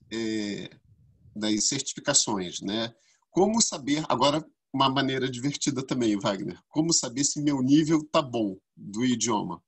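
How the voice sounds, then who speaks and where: A man from Brazil, co-hosting a casual podcast and telling animated stories that jump high when he acts out quotes. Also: slightly rough. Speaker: podcast co-host.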